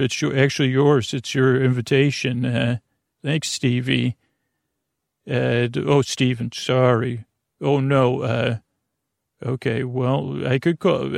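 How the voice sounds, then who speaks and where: A man with a heavily creaky, fry-laden voice delivers a rambling bedtime monologue as a podcast host. He drifts off on tangents, stops and starts, and stumbles over words. The sound is dry, with no room echo. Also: medium pitch. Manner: meandering, halting, digressive, stumbling over words.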